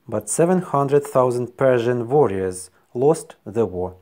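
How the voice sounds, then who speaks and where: Low-voiced man with a Ukrainian accent. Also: a little mellow. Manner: careful, deliberate non-native delivery. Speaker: history channel narrator. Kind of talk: scripted history narration.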